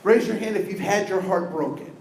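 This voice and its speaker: A man giving an energetic, interactive diversity training talk, asking the audience questions. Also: high voice, fairly quick, creaky, roomy sound, a little hiss or hum, low-quality recording. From United States.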